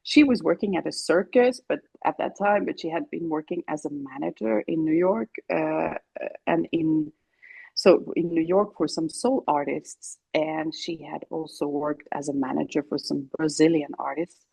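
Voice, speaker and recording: Swedish-born woman guest, low husky voice, relaxed and chatty, laughs easily. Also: moderate pace, dry recording. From Sweden. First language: Greek and Finnish. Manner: relaxed, laughs often, many fillers and restarts. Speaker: guest singer-songwriter. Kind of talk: casual interview chat.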